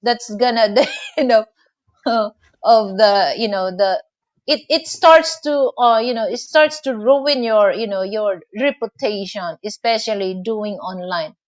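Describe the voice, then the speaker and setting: A woman's voice: on the high side, unhurried. A middle-aged Filipino woman hosting a live online interview, rambling with many filler phrases and restarts. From Philippines. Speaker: host.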